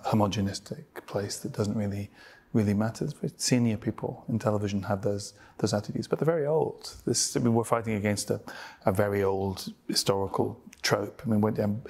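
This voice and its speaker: A man speaking on a panel in an event room before an audience, measured and thoughtful, with small restarts. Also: on the low side, a little mellow, breathy edge. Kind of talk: panel discussion.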